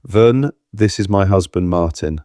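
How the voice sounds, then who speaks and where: An actor playing an anxious, protective husband in an audio drama, muttering with urgency. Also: male voice, low voice, measured pace, dark tone, no room sound, studio quality. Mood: awkward, cautious. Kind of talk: full-cast audio drama acting.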